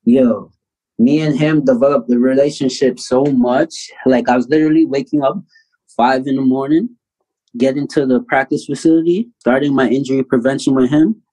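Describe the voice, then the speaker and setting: A man in his thirties from Canada, a basketball player telling stories as an interview guest in a casual, animated way. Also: medium pitch, moderate pace, rough recording. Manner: animated storyteller, acts out quotes loudly.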